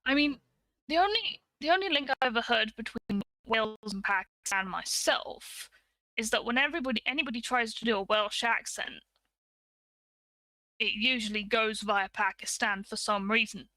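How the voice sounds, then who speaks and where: A woman explains things in a casual, chatty way as a co-host. Her voice sits a little high and has a bright, forward edge. The sound is dry, with no room around it. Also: moderate pace, no echo, poor audio.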